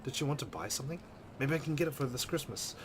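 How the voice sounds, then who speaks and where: A man's voice: mid-range voice, lively intonation, quick pace, background noise. A man hosting a let's play, reading story text dramatically and adding casual commentary.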